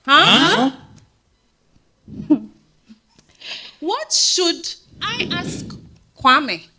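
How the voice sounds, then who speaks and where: Woman acting a shrill, scandalized Ghanaian in-law. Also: big pitch swings, very slow, pushed and bright, full character voice. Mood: prying. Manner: exclamatory, theatrical. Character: nosy, scandalized in-law woman, loudly indignant. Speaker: stage actor. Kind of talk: dramatized stage reading. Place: live staged reading at a festival.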